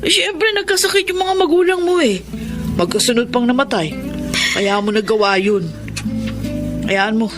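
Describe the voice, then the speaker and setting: An actress playing a caring tomboy landlady, speaking gently and reassuringly in a radio drama. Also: fairly high pitch, animated, moderate pace, long pauses, over music, close to an everyday voice. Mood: consoling. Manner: gentle, consoling. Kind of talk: Tagalog radio drama acting.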